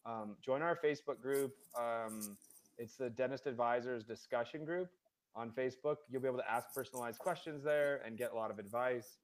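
A man who is a guest on a podcast, talking about financial advice for dentists in a relaxed, rambling way with many fillers. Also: medium pitch, fairly quick. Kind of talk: casual interview.

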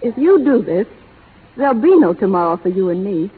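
An actress playing an anxious young sweetheart, breathless and melodramatic in the manner of 1930s radio drama. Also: female voice, medium pitch, sing-song, brisk, husky. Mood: pleading. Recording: tinny, reverberant 1930s radio broadcast.

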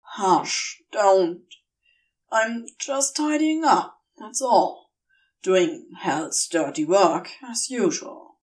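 A woman voicing a begrudging male demon with a secretly tender streak, gruff and brusque, in a story reading. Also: medium pitch, animated, extremely slow, darkish tone, far from the mic. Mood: gruff, deflecting.

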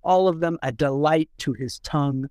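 A man in a casual podcast discussion as an editor, talking in a halting, rambling way and repeating words as he forms thoughts. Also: a little high, a little mellow, dry recording, clean studio sound. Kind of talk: casual discussion with a short read-out.

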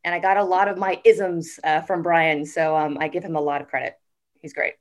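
Chatty woman guest with a lowish voice. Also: little pitch movement. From United States. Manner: chatty and upbeat, with frequent "um" pauses. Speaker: guest, TV network executive. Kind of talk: casual podcast interview.

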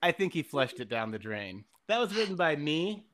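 A man chatting casually as a podcast guest, joking with wry, deadpan asides. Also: fairly high pitch, moderate pace. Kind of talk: casual comedic chat.

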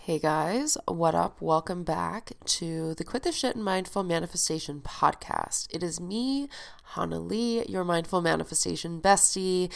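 A woman's voice: lowish voice, unhurried, vocal fry. A young woman hosting a podcast in casual, chatty talk, sounding nasal and stuffed up from a head cold and swearing freely. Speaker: podcast host.

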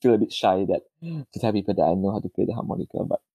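A man's voice: fairly low pitch, expressive, fast, warm tone, slightly rough, no room sound. A young man in his twenties from Malaysia, answering interview questions in a hesitant, modest way and often restarting his words. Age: 20s. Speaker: guest.

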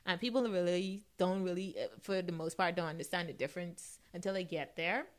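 A woman's voice: fairly low pitch, fairly even intonation, a little fast. A woman hosting a radio interview in a casual, conversational way, often murmuring agreement as she listens. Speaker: radio show host interviewing a guest.

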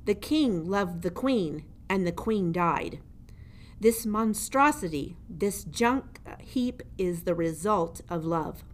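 A woman's voice: fairly low pitch, expressive, takes it slowly. A woman voicing a grim, theatrical old male dungeon jailer in a storybook, ominous and emphatic. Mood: bitter. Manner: ominous, emphatic, refers to himself in third person. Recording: noticeable background noise.

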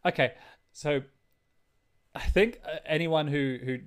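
A man's voice: fairly high pitch, a little slow, clean tone. A man chatting casually as a podcast co-host, in a hesitant, rambling way. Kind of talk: casual chat.

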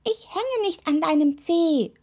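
A woman voicing a grumpy, cheeky, excitable little male toe creature in a children's story, blunt and quick to exclaim. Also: extremely high pitch, pitched above the performer's own voice, exaggerated voice. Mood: indignant.

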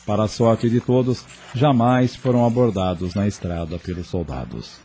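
A man narrating a scripted radio drama in a measured, solemn storytelling style. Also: on the low side, dark tone, slight background noise.

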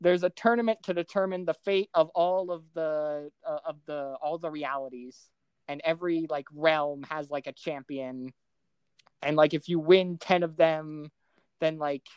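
A man with a clear, smooth voice, recorded dry and close. He talks casually as a podcast co-host, animated and quick to laugh, often backing up to restart a thought. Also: fairly high pitch, no echo. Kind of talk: casual pop culture discussion.

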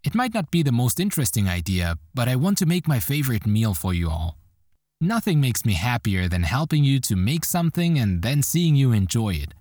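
A man's voice: medium pitch, sing-song, fairly quick, a little mellow, dry recording, studio quality. A man playing a kind, eager robot fridge who loves cooking, in a children's audio drama. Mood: earnest, eager.

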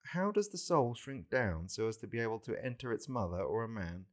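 A man's voice: lowish voice, lively intonation, fairly quick, close to an everyday voice. A man voicing an earnest disciple who puts pleading, inquisitive questions to his divine master in a scripture reading. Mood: earnest questioning.

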